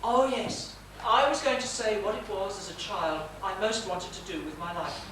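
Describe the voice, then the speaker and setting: A man's voice: sits high, normal speed, close to an everyday voice. A man playing a reflective, confiding writer in a stage monologue, literary in phrasing and projected to the house. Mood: wistful, genial. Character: reflective, confiding Russian writer narrating his stories. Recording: echoey theatre stage, distant mic, noisy.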